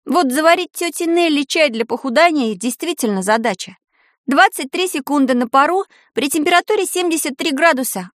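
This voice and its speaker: Woman as an offhand young schoolgirl witch, high-pitched. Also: no room sound, studio quality. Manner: offhand, grumbling. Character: shy, matter-of-fact young schoolgirl witch.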